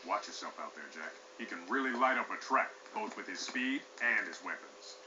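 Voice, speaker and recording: A man's voice: fairly low pitch, animated, moderate pace, background noise, lightly acted. A man playing a cool, confident veteran racer in a video game, laid-back and swaggering. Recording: dull, echoey audio under music.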